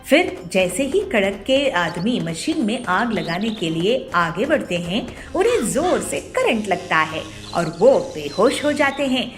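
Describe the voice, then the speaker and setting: A woman's voice: mid-range voice, big pitch swings, unhurried, few pauses, faint music behind. A woman reading scripted narration for children's cartoon stories as the storyteller.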